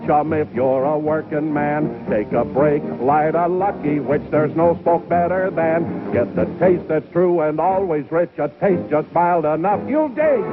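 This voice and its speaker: A hip beatnik singer delivers a comic vintage commercial in rhythmic chant and song. His voice is a bit high, with music clearly behind it. The sound is narrow and tinny. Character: hip male beatnik singer pitching cigarettes. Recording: tinny 1950s broadcast recording.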